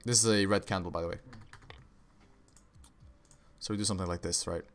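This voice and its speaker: Young male streamer, American-sounding accent, slightly low pitch, casual slangy delivery. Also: fairly even intonation, fairly quick, slight background noise. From Kosovo. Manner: casual, slangy, says "bro" and "right?" often. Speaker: forex trading streamer. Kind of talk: casual live-stream chart commentary.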